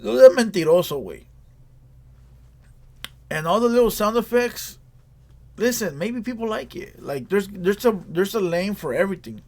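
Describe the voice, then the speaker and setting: Blunt higher-voiced man giving reaction commentary. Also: lively intonation, normal speed, long pauses, a little mellow. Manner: blunt, repetitive, slangy lecturing. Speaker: reaction video host.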